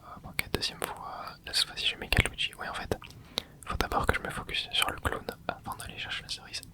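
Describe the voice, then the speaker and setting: A man whispering gameplay commentary. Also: a little fast. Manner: soft whispering, casual gamer slang, talks to himself while playing. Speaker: ASMR gaming channel host.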